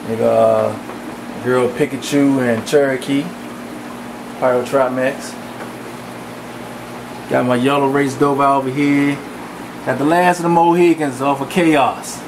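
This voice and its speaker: Relaxed man narrating over fan hum. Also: medium pitch, unhurried, gravelly, pauses often. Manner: relaxed, rambling, with many fillers. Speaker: fish breeder giving a tour. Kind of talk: casual walk-through narration. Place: home aquarium room with running fans. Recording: camcorder audio with fan hum.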